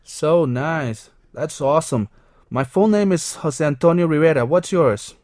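A man's voice: a little high, expressive, measured pace, a little mellow, no echo. Teen schoolboy, read by a man, cheerful textbook dialogue, close and dry. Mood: friendly. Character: friendly teen schoolboy, seventeen.

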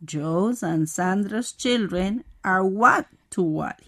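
A woman's voice: medium pitch, slow, a bit bright, no echo, clean studio sound. A woman, playing the curious student in a scripted English lesson. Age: 40s. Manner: curious, asking questions. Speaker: co-host acting as student.

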